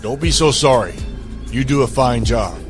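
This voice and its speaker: A man's voice: mid-range voice, animated, moderate pace, loud music behind the voice, natural voice. An actor playing a curt, commanding man who demands loyalty, blunt and authoritative, in an audio drama. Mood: calm, curt.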